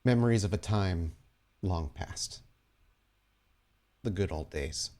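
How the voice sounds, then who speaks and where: Smug vampire crime boss acted by a man: low, measured, formal, quietly menacing, for a tabletop horror game. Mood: taunting.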